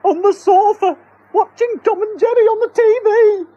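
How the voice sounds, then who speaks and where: A man voicing a shrill, panicky, bossy wife in a screeching falsetto. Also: normal speed, dark tone, highs cut off. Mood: shrieking. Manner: bossy, exasperated.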